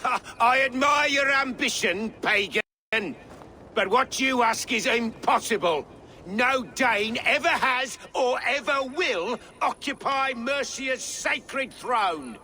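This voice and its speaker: A man's voice: extremely high pitch, lively intonation, slow pace, sharp tone, slight background noise, heavily acted. An actor playing a proud, wrathful Saxon king who declaims pompously at invaders in a video game. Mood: scornful defiance.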